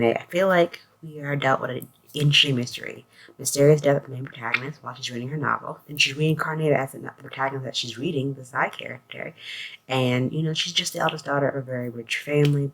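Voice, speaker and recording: A low-voiced woman talks through a casual review in a conversational, loosely phrased way. Faint noise and room ambience sit behind her voice. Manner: conversational, loose phrasing, often saying "like" and "you know". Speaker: anime reviewer, host. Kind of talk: casual spoken review with a scripted synopsis read at the start.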